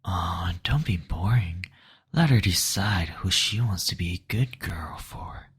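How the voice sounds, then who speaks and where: Guy doing a flirty demon lord, super breathy, unhurried, teasing and coaxing roleplay. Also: low voice, expressive, full character voice. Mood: teasing, flirtatious. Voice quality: breathy, whispery. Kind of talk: intimate scripted roleplay audio.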